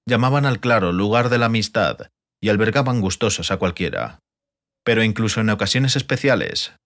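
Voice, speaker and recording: Animated lowish-voiced male audiobook narrator. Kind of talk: scripted literary fantasy narration.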